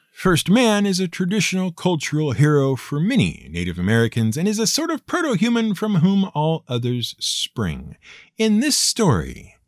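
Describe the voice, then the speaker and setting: Male storyteller, wry and theatrical, hugely varied intonation, slightly high pitch, light music. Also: no echo, clean studio sound. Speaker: storyteller and show host. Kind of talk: scripted storytelling narration.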